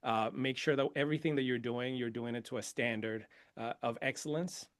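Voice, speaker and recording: A man from Venezuela whose first language is Spanish, a podcast guest telling his life story in a lively, proud way. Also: mid-range voice, a little fast, no room sound, clean studio sound. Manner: energetic, proud, laughs often. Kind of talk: casual interview storytelling.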